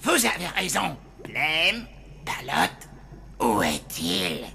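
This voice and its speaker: A downtrodden, nervous cartoon son, gravelly and very slow, with pitch that swoops wildly. The delivery is plaintive and theatrical. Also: huge pitch swings, bright, forceful tone, slight background noise, heavily acted. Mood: nervous resolve. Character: downtrodden, anxious son of a tyrannical vampire father. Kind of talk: French cartoon dub acting.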